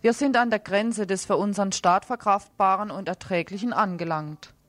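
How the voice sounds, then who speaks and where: A woman co-presents radio news, reading out reports and announcements. Her voice is of average pitch and is heard dry, with very little room sound around it. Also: normal speed, a bit bright, no room sound.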